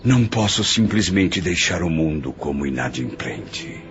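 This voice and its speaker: A man acts out a humble older Brazilian father, a repentant spirit in an audio drama. He pleads solemnly and slowly in a very low voice that swings with feeling. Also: animated, lo-fi sound, music in the background. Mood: humble pleading.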